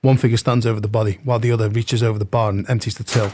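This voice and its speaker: Man to narrate an audio play: deepish, level, unemotional, reading stage directions. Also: little pitch movement, very fast, warm tone, no echo.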